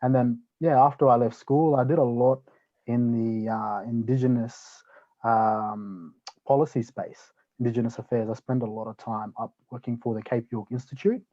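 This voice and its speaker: A man from North Queensland with an Australian accent, talking as a guest in a live webinar, relaxed and full of "you know" fillers. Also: mid-range voice, normal speed, dull audio. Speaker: guest, property developer.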